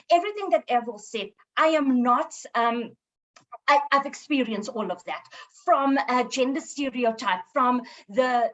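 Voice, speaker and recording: A woman in her twenties from South Africa with a squeaky voice, speaking earnestly as a panelist in a panel discussion. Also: a bit bright, highs cut off. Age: 20s. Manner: earnest and encouraging, with self-deprecating humor.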